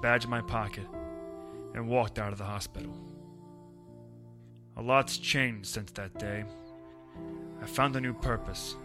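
A haunted noir detective played by a man, determined and serious. It is audio drama acting in a mid-pitched voice over prominent music. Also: fairly even intonation, measured pace, pauses often, close to an everyday voice. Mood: brooding.

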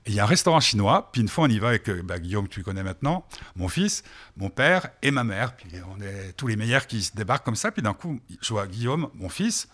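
A man's voice: on the low side, very animated, quick pace, a bit bright. A man hosting a radio show who jokes, teases his guests and talks over them. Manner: teasing, joking, talks over others. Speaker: radio host. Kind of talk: casual radio chat.

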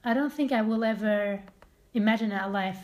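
A woman's voice: mid-range voice, moderate pace. A woman who grew up in Sweden, chatting casually as a podcast co-host and telling stories with a laugh. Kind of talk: casual chat.

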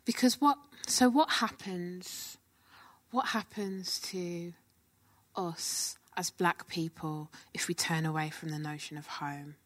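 A woman's voice: lowish voice, slow pace, a bit bright, no room sound. A woman performer from Birmingham answers an audience question at a post-show talk, candid and reflective, thinking aloud as she goes.

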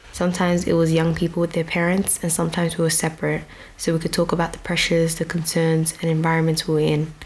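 Low, flat-voiced young woman speaker. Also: slight background noise. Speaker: speaker addressing an outdoor audience. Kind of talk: prepared storytelling speech. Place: outdoor venue with grass and a stage.

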